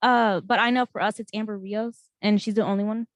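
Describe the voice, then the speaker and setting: A woman's voice: mid-range voice, steady tone, fairly quick, no echo, clean studio sound. A woman, a student, adding to a meeting discussion over a video call, rambling and correcting herself.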